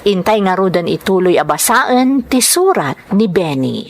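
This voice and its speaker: A woman's voice: medium pitch, very animated, normal speed. A woman, hosting and reading out scripted greetings and a letter as narration. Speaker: drama show host and letter reader.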